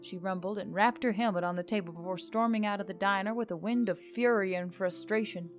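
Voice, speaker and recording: A woman reading scripted fiction as a story narrator, in an expressive storytelling style. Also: mid-range voice, brisk. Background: background music.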